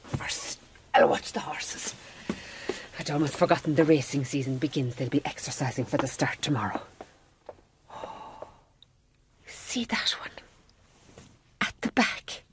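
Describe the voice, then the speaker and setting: An actress playing a shrewd, practical Mother Superior with a brisk, authoritative manner in a radio play. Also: low-pitched, in a whisper. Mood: weary. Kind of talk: radio drama acting.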